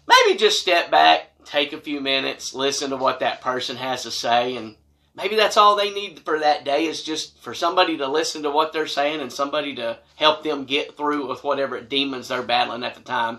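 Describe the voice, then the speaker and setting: Bright-voiced middle-aged American man telling stories. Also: a little high, gravelly. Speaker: storytelling vlogger. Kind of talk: casual personal storytelling to camera. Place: small wood-paneled room indoors.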